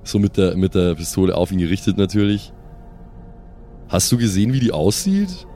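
A man's voice: sits low, animated, normal speed, dark tone. A man bantering casually and in slang as a player in an improvised tabletop role-playing game. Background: background music.

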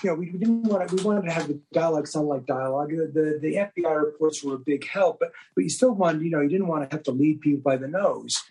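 A man's voice: a little high, fast, hardly any pauses, a little mellow, breathy edge, rough recording. A man answering as an interview guest in a discursive, explanatory way. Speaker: podcast guest, audio drama director.

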